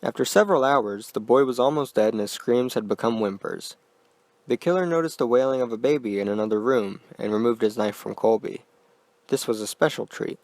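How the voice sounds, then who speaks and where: Male horror narrator, mid-pitched, measured and quiet, dry booth-style recording. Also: no room sound. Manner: quiet, deliberate storytelling.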